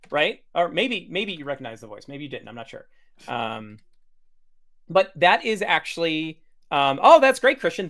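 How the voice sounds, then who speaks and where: A man's voice: high-pitched, fairly bright tone. A man hosting a casual livestream demo over a video call, chatty and full of fillers and restarts. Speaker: host demoing software.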